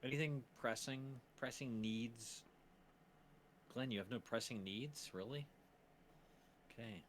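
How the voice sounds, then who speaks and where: A man's voice: mid-range voice, measured pace, fairly bright tone, slight background noise. A man co-hosting a casual livestream, chatting in a teasing, jokey way. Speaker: stream co-host, show team member.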